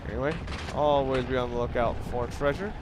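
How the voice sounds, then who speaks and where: A man giving casual, excitable let's play commentary on a video game, with casual swearing. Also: mid-range voice, fairly quick. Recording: clearly noisy home recording.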